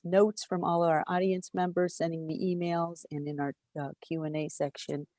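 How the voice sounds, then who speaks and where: A woman's voice: lowish voice, flat delivery, moderate pace, mellow, a little hiss or hum. Effusive woman hosting a video-call talkback. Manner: effusive, reads audience questions aloud. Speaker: library program host and moderator. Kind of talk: moderating a virtual talkback.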